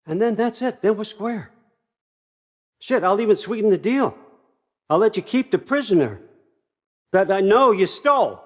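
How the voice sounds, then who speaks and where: A man's voice: sits high, very animated, moderate pace, pauses often. An older American man acting in a video game as a scheming, controlling old father god, wry, folksy and conversational as he manipulates. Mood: insistent, pressing.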